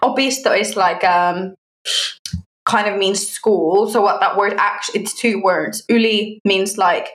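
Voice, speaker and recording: A woman's voice: medium pitch, a little slow. Echoey, informal woman from Finland. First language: Finnish. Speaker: podcast co-host. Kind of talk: casual chat, sharing news stories. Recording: roomy, echoey sound.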